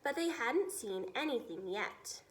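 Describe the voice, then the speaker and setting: Woman, high voice, animated intonation, expressive children's story reading. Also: measured pace. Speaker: teacher reading aloud to children. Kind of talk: expressive storybook read-aloud.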